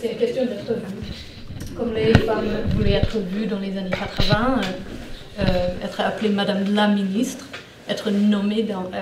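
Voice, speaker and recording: A woman's voice: medium pitch, noisy background. English woman w/ manchester accent, talking french at a lecture q&a, roomy room, mic a ways off, audience around. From northern England. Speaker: guest speaker. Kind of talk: public talk Q&A. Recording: roomy, distant, audience present.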